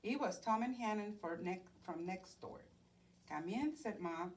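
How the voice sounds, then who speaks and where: A woman reading a picture book aloud to young children as a teacher, expressive and sing-song, inviting listeners to join in. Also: mid-range voice, normal speed. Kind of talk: children's read-aloud storytime. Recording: distant mic, faint background noise.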